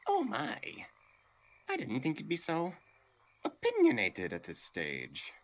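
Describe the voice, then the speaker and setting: A man voicing a small, petty game villain with mock-polite, affected manners and a creaky voice. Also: on the high side, huge pitch swings, slow, full character voice. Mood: theatrical, indignant. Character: small theatrical male villain, mock-courteous, petty and sneering. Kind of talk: comedic video game voice acting.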